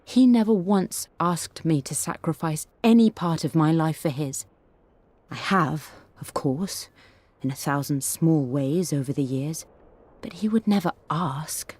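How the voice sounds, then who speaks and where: A woman's voice: low-pitched, lively intonation, a little slow, darkish tone, dry recording. An actress playing a devoted English painter and wife, reading her private journal in a reflective, tender way for a game. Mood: wistful, tender. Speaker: voice actor. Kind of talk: dramatic reading of a personal letter or journal.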